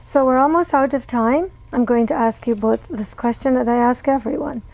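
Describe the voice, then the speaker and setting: A woman's voice: a little high, moderate pace, gravelly, darkish tone, low-quality recording. A woman hosting a community interview show, asking friendly, encouraging questions. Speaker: interview host. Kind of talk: casual interview.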